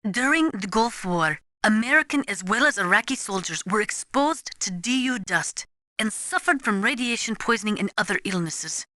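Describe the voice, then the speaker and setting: Game role: expert female nuclear analyst. Formal, exact, instructive delivery; forward, bright tone at a medium pitch, close dry sound. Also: dry recording. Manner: formal, precise, avoids contractions, lecturing. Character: serious, knowledgeable female nuclear weapons analyst. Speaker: video game voice actor. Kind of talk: scripted expository game dialogue.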